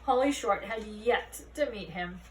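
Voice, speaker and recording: A woman reading a children's fantasy novel aloud as an expressive storyteller. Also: mid-range voice, unhurried. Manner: expressive storytelling, acting out dialogue. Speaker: read-aloud narrator. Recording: roomy, distant mic, faint noise.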